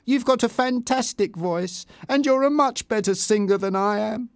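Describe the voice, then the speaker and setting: A man voicing an excitable, insecure young woman who sings in a band, in an eager and emotional way. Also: high-pitched, moderate pace, clear, resonant tone, no echo, studio quality, voice pushed up. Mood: shocked, upset.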